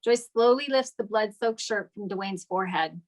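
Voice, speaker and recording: Mid-pitched woman narrating a script. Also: moderate pace. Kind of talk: scripted stage-direction narration.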